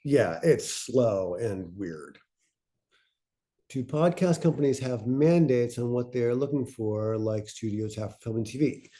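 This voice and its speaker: Guy giving an informal panel talk, soft mellow tone, thoughtful, kinda stop and start. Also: mid-range voice, expressive, measured pace. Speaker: panelist presenting on podcast pitching.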